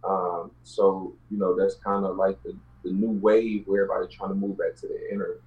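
A man with a fairly deep voice and a Houston Southern accent speaks as an interview guest. He tells personal stories in casual, streetwise language. The audio is a little muffled and lo-fi. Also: level tone, fairly quick. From Houston, Texas, United States. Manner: colloquial, streetwise slang.

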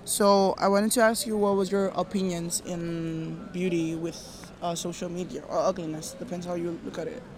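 A woman's voice: fairly low pitch, steady tone, normal speed, hardly any pauses, background noise. Hesitant young woman podcast host chatting casually outdoors. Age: 20s. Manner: hesitant, lots of fillers and restarts. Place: outdoors in a park.

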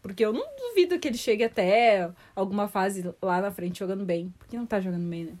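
A woman's voice: medium pitch, expressive, fast talker, darkish tone. A 25-year-old woman, chatting casually as a sports podcast co-host.

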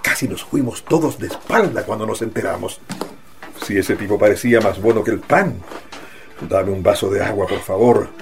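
A man's voice: fairly low pitch, moderate pace, gravelly. An actor playing a trusting, reasonable middle-aged father in a radio drama. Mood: shocked.

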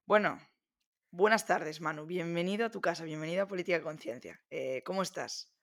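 A woman's voice: on the low side, fairly quick. A woman hosting a podcast interview in a casual, chatty way, with informal, enthusiastic interjections.

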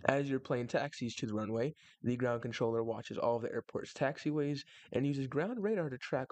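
A man's voice: medium pitch, fairly quick, a little mellow, dry recording. Wry man bantering on a podcast, dry sound. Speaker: podcast co-host. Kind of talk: casual podcast explainer with joking banter.

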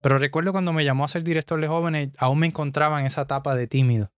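A man's voice: a little high, steady tone, fast talker, smooth, no room sound, clean studio sound. A young man from Puerto Rico with a Puerto Rican Spanish accent, speaking earnestly on a casual podcast. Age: young adult. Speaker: podcast co-host.

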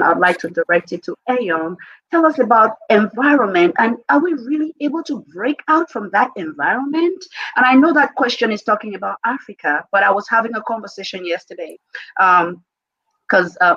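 A woman's voice: medium pitch, normal speed, fairly bright tone, muffled recording. A middle-aged woman hosting a podcast, encouraging her guests with frequent affirming murmurs. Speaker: podcast host. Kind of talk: casual conversation.

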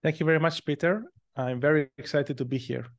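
A man's voice: on the high side, fairly even intonation, a little fast, no room sound. A man in his thirties with an Israeli accent, a podcast guest telling his story and explaining things step by step. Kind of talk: conversational interview.

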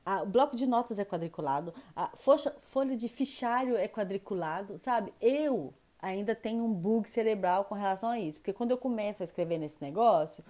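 A woman's voice: medium pitch, expressive, fairly quick, few pauses, mellow. A Brazilian woman co-hosting a podcast, chatting eagerly and joking about herself. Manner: enthusiastic, chatty, self-deprecating. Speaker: podcast co-host. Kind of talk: casual podcast chat. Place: home in France.

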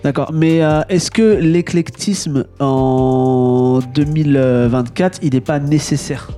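Mellow-voiced man hosting a casual interview. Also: fairly high pitch, takes it slowly, smooth, faint music behind. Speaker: podcast host interviewing a guest.

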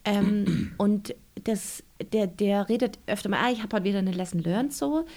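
A woman's voice: mid-range voice, normal speed, mellow. A woman, an author being interviewed, talking casually and reflectively, with many fillers and restarts.

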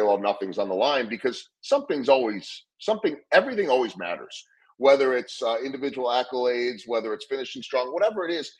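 Middle-aged man, husky voice, earnest interview guest who stumbles and repeats words as he goes. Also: mid-range voice, low-quality recording. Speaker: guest speaker on leadership. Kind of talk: conversational interview storytelling.

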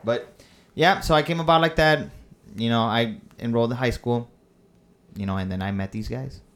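A man's voice: medium pitch, normal speed, a bit bright. A man in his thirties, originally from Mexico, co-hosting a casual podcast chat in a laid-back, joking way. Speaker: podcast co-host.

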